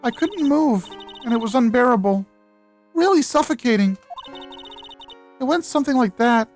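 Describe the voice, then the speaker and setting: A woman's voice: a little high, expressive, normal speed, darkish tone, faint music behind, pitched above the performer's own voice, close to an everyday voice. A woman voicing a distraught, guilt-ridden teenage girl, a fragile spirit medium in a video game, earnest and emotional. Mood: shaken, recounting.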